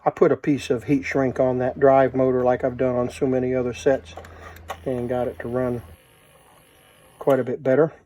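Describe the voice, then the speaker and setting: A man's voice: medium pitch, little pitch movement, moderate pace, dark tone. A man giving a casual, unscripted hands-on demonstration, thinking aloud as he works as a hobbyist presenter. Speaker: vintage tape recorder hobbyist, presenter.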